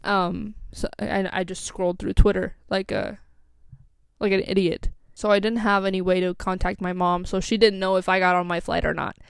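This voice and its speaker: A woman's voice: fairly low pitch, little pitch movement, normal speed, creaky, clean tone, no echo, studio quality. Rambling young woman with American accent. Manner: rambling, scatterbrained, lots of "like" and "um". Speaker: vlogger. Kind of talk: casual storytelling.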